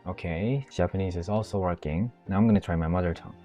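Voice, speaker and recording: A man whose first language is Korean talks viewers through a tech demo in an easy, explanatory way. His voice sits low and mellow. Faint music plays under him. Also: fast, dull audio. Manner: chatty, explanatory, tosses in "right?" to the viewer. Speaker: tech YouTuber. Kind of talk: casual screen-recorded tutorial and sponsored review. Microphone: wireless microphone.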